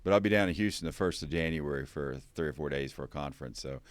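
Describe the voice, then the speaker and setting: A man's voice: a bass voice, quick pace, no echo. A man hosting a tourism podcast over a video call, asking questions in a hesitant, self-correcting way. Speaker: podcast host.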